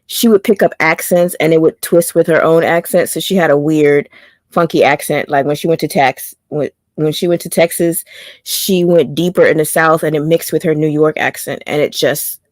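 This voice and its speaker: A woman with a low voice, chatting casually. She rambles and restarts her sentences as she goes. The sound is dry and close. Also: steady tone, moderate pace, a little mellow, dry recording. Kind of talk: casual chat.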